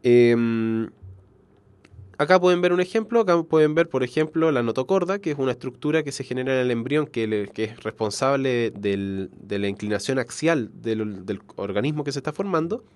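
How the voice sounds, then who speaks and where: A man's voice: medium pitch, animated, normal speed, darkish tone, no echo. A man with a congested, nasal voice from a cold, giving an explanatory biology lecture online, often correcting himself and checking in. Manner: explanatory, frequent self-corrections and check-in tags. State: has a cold. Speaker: biology teacher.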